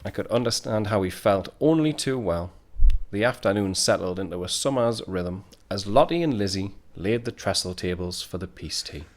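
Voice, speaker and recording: A man's voice: fairly low pitch, lively intonation, normal speed, lightly acted. A man playing a wistful, reflective narrator of an audio play, who watches those he loves. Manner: reflective storytelling.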